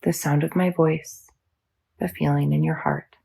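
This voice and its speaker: Husky, creaky woman guiding meditation. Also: deep voice, fairly even intonation, warm tone. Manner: soothing, measured, instructional. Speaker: yoga nidra teacher. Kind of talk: guided meditation.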